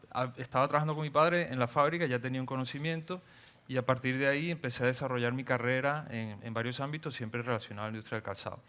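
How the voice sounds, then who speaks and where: A man, speaking as a guest on a panel and explaining at a measured pace with many fillers. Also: mid-range voice, fairly bright tone, smooth. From Venezuela. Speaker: panel guest, engineer and entrepreneur. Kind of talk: panel talk.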